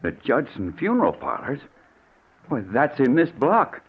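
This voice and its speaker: A man's voice: medium pitch, huge pitch swings, measured pace. An actor playing a terrified, paranoid rich man in an old-time radio thriller, stammering and jittery. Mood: nervous fear. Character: terrified, paranoid wealthy man hounded by a killer. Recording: tinny narrow-band vintage radio, music behind.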